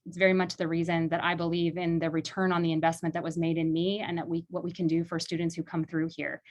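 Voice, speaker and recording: A woman's voice: lowish voice, flat delivery, fast, darkish tone. A woman from Illinois who directs a law clinic, speaking earnestly and reflectively on a video call, with many fillers. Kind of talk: virtual panel talk.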